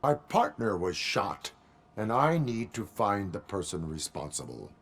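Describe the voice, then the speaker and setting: A man playing a stoic cyborg police officer in a video game, speaking in a deadpan, clipped, formal way. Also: fairly low pitch, a little slow. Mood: flat, guarded.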